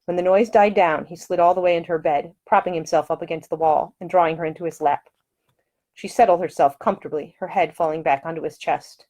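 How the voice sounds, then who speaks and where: A woman's voice: lowish voice, fairly even intonation, brisk, mellow. A woman reading her own novel aloud as scripted audiobook narration.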